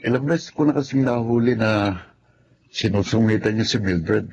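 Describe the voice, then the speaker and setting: Man acting a gravelly, kindly family man. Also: on the low side, normal speed, a little mellow, slight background noise, close to an everyday voice, low-quality recording. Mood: concerned. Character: kindly, sympathetic men of a Filipino household. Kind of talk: Filipino radio-style melodrama acting.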